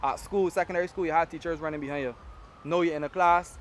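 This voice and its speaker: A young man with a Barbadian accent talks quickly in a lively island dialect. His voice sits a little high. Faint outdoor traffic hums behind him. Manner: animated storytelling in Caribbean dialect. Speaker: award-winning activist guest. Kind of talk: casual interview talk.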